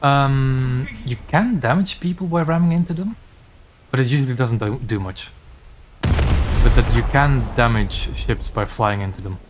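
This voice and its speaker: A man's voice: medium pitch, unhurried, background noise. A man from Belgium with a mixed Belgian and English accent, chatting casually with fillers while streaming a game. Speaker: gaming streamer. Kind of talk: casual commentary while playing.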